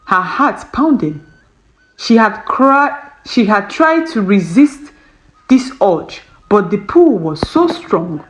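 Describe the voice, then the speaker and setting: A woman narrating a scripted romance story in a measured, dramatic, expressive storytelling style. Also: medium pitch, poor audio.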